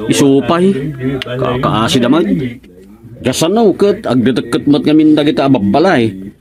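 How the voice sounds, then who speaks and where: An actor with an Ilocano accent playing a talkative, excitable village councilman in a radio comedy-drama, musing and exclaiming. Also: mid-range voice, normal speed, a crowd in the background, close to an everyday voice. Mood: dismayed.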